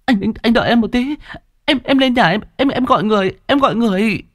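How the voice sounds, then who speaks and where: A man's voice: very high-pitched, expressive, slow pace, no room sound, clean studio sound, voice pushed up, full character voice. A man voicing a panicked young village woman who stammers and cries out for help.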